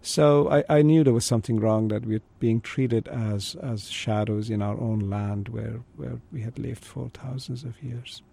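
A middle-aged man from Iran, a native Kurdish speaker, telling his life story as an interview guest in a thoughtful, halting way. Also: mid-range voice, steady tone, creaky, dark tone, slight background noise. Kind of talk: conversational interview.

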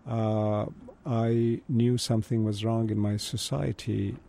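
Gravelly middle-aged man born in Iran. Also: fairly low pitch, little pitch movement, warm tone. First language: Kurdish. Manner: thoughtful, halting storyteller. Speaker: guest. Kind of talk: conversational interview.

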